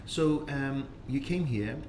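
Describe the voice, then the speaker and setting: A man's voice: medium pitch, takes it slowly, roomy sound, crowd noise. A man from Iran hosting a podcast, asking eager questions with many fillers. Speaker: podcast host. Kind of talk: podcast interview. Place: room in Dubai.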